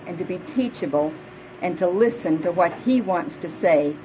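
A woman's voice: fairly low pitch, lively intonation, normal speed. A woman in her fifties with a big voice, preaching earnestly to a women's church gathering and leading prayer. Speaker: speaker at a women's church retreat. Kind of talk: sermon. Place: hall before a live audience. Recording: dull lo-fi live recording with faint noise.

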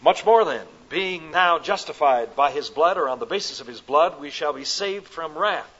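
A man from the American Midwest with a Midwestern accent, preaching a sermon at a conference, rising to loud emphatic peaks and dropping to soft, slow phrases. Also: a little high, animated, husky. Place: conference hall. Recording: dull lo-fi recording.

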